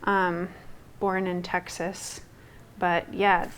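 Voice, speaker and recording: Young woman artist, low voice, rambling. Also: flat delivery, drawn-out words, a bit bright, a little hiss or hum, rough recording. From Guatemala. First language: Spanish. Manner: rambling, many fillers and restarts. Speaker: textile artist. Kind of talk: casual interview talk.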